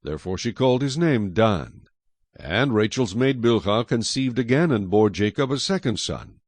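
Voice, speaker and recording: A male narrator reading scripture as a dramatic story. His voice is on the low side with lively, expressive intonation. The recording is close and dry. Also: moderate pace, no echo.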